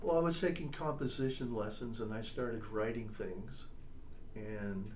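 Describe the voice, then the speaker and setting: A man's voice: fairly low pitch, measured pace, a little mellow. An older man, a composer, chatting as an interview guest and telling stories, now and then checking in with "all right?". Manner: chatty storyteller, tags points with "all right?" and "you know?". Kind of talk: casual storytelling interview. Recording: echoey room, distant mic, faint noise.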